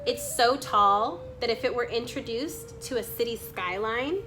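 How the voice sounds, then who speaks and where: Slow-paced woman reading aloud over music. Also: a little high. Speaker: reader reading a children's nonfiction book aloud. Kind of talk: read-aloud narration of a nature book.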